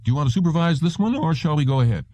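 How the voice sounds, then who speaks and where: Man voicing a quick, high-voiced deferential technician. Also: expressive, darkish tone, no echo. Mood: brisk, dutiful. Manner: businesslike, deferential. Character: brisk, deferential technician on an intercom. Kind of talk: audiobook character voice.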